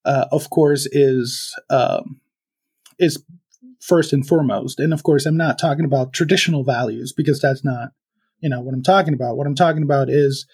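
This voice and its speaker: A man from Venezuela speaking as a podcast guest, thoughtful and halting, with many fillers and restarts. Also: medium pitch, darkish tone, husky. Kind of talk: reflective conversational interview.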